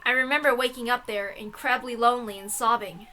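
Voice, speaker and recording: A woman giving a dramatic, theatrical narrated reading of a story in an expressive manner. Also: a little high, quick pace, a little hiss or hum. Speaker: reader of a visual novel.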